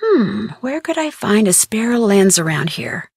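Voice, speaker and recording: A woman playing an inquisitive, polite and persistent young American amateur detective, speaking scripted adventure game dialogue in an earnest, expressive way. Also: medium pitch, unhurried, breathy edge, no room sound, clean studio sound, full character voice. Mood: musing. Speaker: video game voice actress.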